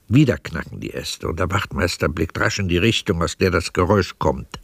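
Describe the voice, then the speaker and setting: A man narrating a scripted radio drama in a measured, matter-of-fact manner. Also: on the low side, dry recording, clean studio sound.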